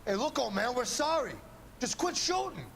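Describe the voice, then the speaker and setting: An actor playing a scared young street punk, pleading, in a crime video game. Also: male voice, very high-pitched, sing-song, moderate pace, gravelly, fairly bright tone, a little hiss or hum, exaggerated voice.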